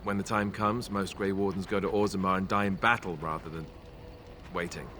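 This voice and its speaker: A low-voiced, wry young warrior. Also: male voice, fairly even intonation, brisk, bright, forceful tone, a little hiss or hum. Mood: sardonic. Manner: wry, conversational, sardonic. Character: young male warrior, wry, boyish, self-deprecating comrade. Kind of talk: video game character dialogue.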